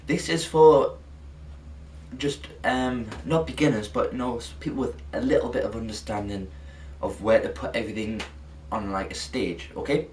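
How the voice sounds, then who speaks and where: A man's voice: mid-range voice, fairly even intonation, darkish tone. Echoey, distant man introducing a tutorial. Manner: halting, rambling, self-correcting. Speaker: video tutorial presenter. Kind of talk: informal spoken introduction to a lesson. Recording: echoey, distant mic, faint noise.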